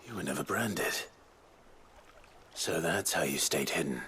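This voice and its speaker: A man playing a brooding, terse young hero in a video game, speaking in a measured, hushed way. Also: a bass voice, lively intonation, a little hiss or hum, exaggerated voice. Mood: calm, serious. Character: brooding young man, bearer and reluctant helper.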